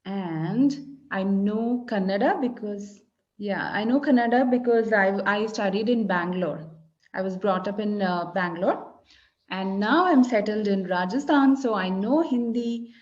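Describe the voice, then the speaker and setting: A woman with an Indian English accent, a native Malayalam speaker from Kerala, tutors a student over a video call. She speaks slowly and encouragingly. The call audio sounds a little dull and muffled. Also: mid-range voice. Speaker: English conversation teacher. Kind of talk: casual tutoring chat.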